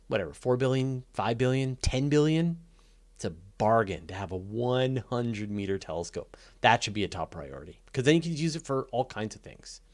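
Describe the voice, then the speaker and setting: A man talking casually and off the cuff as the host of a live question session, thinking aloud in a conversational, keen way. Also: mid-range voice. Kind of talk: casual unscripted Q&A talk about space and astronomy.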